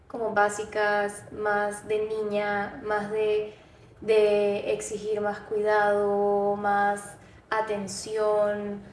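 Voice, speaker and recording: A woman co-hosting a reflective conversational podcast, talking in a thoughtful, explanatory way. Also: mid-range voice. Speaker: podcast co-host. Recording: roomy, distant mic, faint noise.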